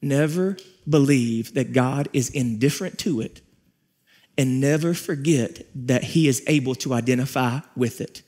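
A man in his forties preaching a sermon on a large church stage, with repeated phrases, pauses and rising emphasis. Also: mid-range voice, a little mellow. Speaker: pastor.

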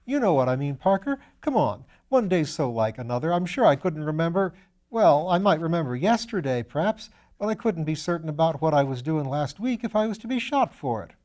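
A man reads out an eager young upper-class student in a storybook. He is easily flustered and runs himself down in old-fashioned slang. The voice rides high and stays mellow. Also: fairly quick. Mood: embarrassed, protesting. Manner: excitable, self-deprecating, old-fashioned slangy speech.

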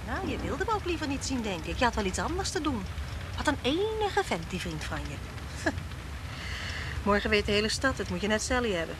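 High-voiced, headstrong schoolteacher in a radio play. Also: female voice, fairly quick. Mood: musing, rueful. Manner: insistent, teasing, expressive. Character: warm-hearted, headstrong single woman schoolteacher, pushy and easily piqued. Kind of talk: Dutch radio play acting. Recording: noisy old radio drama recording.

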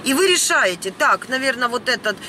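A woman's voice: on the high side, lively intonation, a little fast, bright, forceful tone. A woman, speaking indignantly and bluntly in an unscripted vlog rant, recorded inside a van. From Ukraine. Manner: indignant, emphatic, blunt, peppered with mild swearing. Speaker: vlogger. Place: inside a parked or moving van. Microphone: camera at about arm's length. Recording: noisy vehicle cabin.

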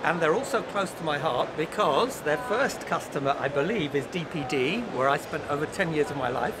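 A man's voice: on the high side, animated, normal speed, heavy background noise. A middle-aged man hosting interviews at a trade fair, talking in a conversational, upbeat way in a busy exhibition hall. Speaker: host interviewer.

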